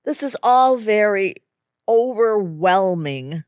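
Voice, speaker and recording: An actress plays a gentle, uncertain woman just arrived in the afterlife in an audio comedy. She speaks slowly and earnestly, with lively rises and falls in a dry, close recording. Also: mid-range voice, smooth, dry recording. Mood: overwhelmed, wistful. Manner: tentative, questioning, earnest. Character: hesitant, sweet, newly dead married woman. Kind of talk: audio theatre comedy acting.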